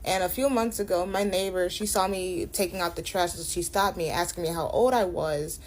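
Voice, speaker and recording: A woman's voice: fairly low pitch, steady tone, moderate pace, slight background noise, low-quality recording. A woman of nineteen casually telling stories, acting out the dialogue as she goes. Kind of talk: casual storytelling.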